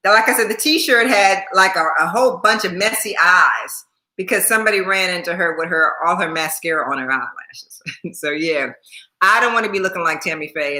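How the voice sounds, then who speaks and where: A woman's voice: lowish voice, bright, forceful tone, reverberant, low-quality recording. A woman in her sixties chatting casually on a livestream, repeating herself and laughing often while thanking viewers by name. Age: 60s. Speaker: YouTube host.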